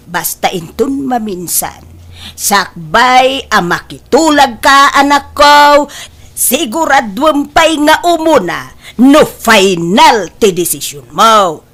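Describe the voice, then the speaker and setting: A woman's voice: sits high, a bit bright, heavily acted. A woman with an Ilocano accent playing a patient, advising mother in a comedy radio-style drama, coaxing and admonishing. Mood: coaxing, relenting.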